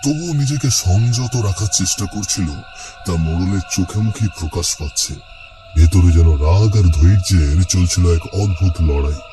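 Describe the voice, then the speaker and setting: A man reads scripted narration for a cartoon story. His voice sits low, and music plays clearly behind him. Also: moderate pace.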